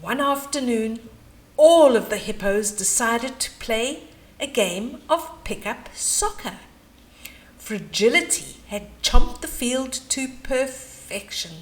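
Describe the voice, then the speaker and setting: High-voiced, slow, animated woman storyteller. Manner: chatty asides and questions to young listeners. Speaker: children's storyteller. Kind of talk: animated bedtime story read-aloud.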